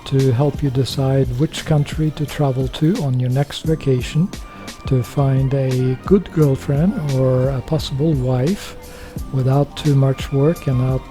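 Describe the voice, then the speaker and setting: A man's voice: mid-range voice, fairly even intonation, unhurried, music in the background. An older man from Norway talking casually to camera, rambling with many fillers and sharing opinions. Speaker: vlogger.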